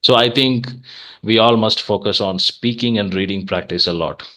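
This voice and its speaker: A man's voice: on the low side, moderate pace, a bit bright. A young man from Tamil Nadu with a Tamil-tinged Indian English accent, earnestly explaining his views in a casual conversation-practice chat with many "uh" fillers. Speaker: host of English practice channel.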